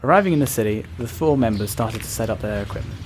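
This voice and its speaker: A man's voice: on the low side, expressive, quick pace, noisy background, close to an everyday voice. A man narrating a scripted animal tale as a storyteller. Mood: measured storytelling.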